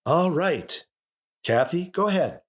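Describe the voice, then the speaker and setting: A man's voice: a little high, animated, slow. An actor playing a sarcastic middle-aged father in a radio sitcom, grumbling and exasperated. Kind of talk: 1950s radio sitcom acting.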